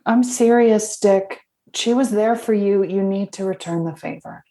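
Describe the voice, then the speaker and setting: A woman's voice: medium pitch, measured pace, warm tone, close to an everyday voice. A woman playing a self-assured, blunt and teasing old friend in an audio drama, with a wry, direct delivery. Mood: serious, urging.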